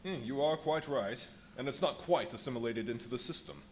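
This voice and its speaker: A man's voice: mid-range voice, fairly quick, natural voice. An actor playing a desperate, menacing invisible man in a live radio play, speaking in a commanding, theatrical way. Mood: impatient. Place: live performance at a brewery venue. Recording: noisy live stage recording with ambience.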